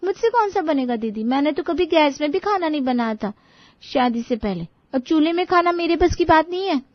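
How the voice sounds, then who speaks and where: A woman's voice: high-pitched, lively intonation, a little fast, dry recording, pitched above the performer's own voice. A woman voicing a fed-up young daughter-in-law in a family story, grumbling and gossipy. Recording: dull lo-fi audio.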